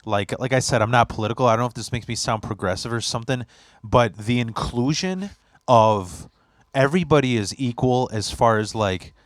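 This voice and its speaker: A Polish-born man hosting a podcast, telling loose, rambling stories full of swearing and false starts. He sits at a middling pitch for a man. His voice is close and dry, with no room sound around it. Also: normal speed, dry recording. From Poland. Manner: rambling, profane storytelling with many restarts. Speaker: podcast host. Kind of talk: casual chat.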